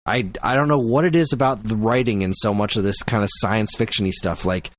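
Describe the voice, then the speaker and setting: A man co-hosting a casual podcast chat, opinionated and quick to get heated, swearing freely. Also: on the low side. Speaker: podcast co-host.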